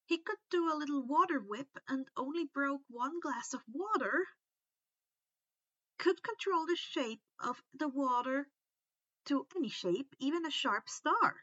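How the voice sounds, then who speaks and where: A woman's voice: sits high, fairly bright tone, dry recording, highs cut off. A woman narrating fan fiction expressively, like an audiobook, stretching words for dramatic emphasis. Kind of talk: expressive scripted story narration.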